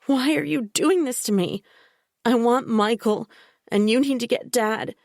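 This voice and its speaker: A woman's voice: a little high, animated, unhurried, no echo, clean studio sound, pitched above the performer's own voice. A woman voicing a frantic, pleading young woman. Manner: pleading, insistent, loud.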